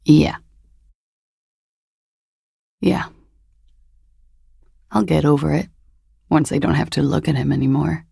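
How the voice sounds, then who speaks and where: Game femme fatale: world-weary, wry, guarded; slow, unhurried pace, mellow timbre, dry close sound. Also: female voice, deep voice, steady tone, vocal fry, slightly rough, no room sound, exaggerated voice. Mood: melancholic. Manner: languid, hesitant, wry. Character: world-weary, guarded, seductive disco-dancer woman recounting a dead lover. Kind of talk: video game dialogue acting.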